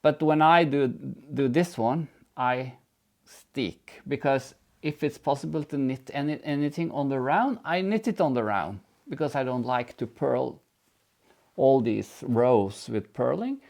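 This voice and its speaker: A man's voice: medium pitch, animated, a little slow, creaky, darkish tone. A man co-hosting a knitting show, chatting enthusiastically and laughing easily as he explains his work. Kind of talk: casual chat and knitting explanation.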